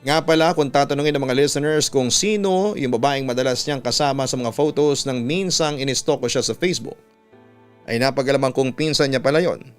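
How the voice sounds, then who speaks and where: A Filipino man reading listener letters as a radio storytelling host, with a smooth, clear voice. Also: mid-range voice, fairly quick. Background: instrumental music.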